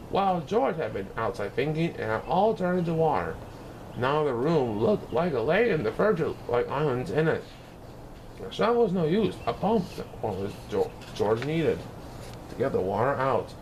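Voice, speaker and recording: Animated higher-voiced man reading a children's storybook. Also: low-quality recording. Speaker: storybook reader. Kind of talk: scripted narration of a children's storybook. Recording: clear background noise.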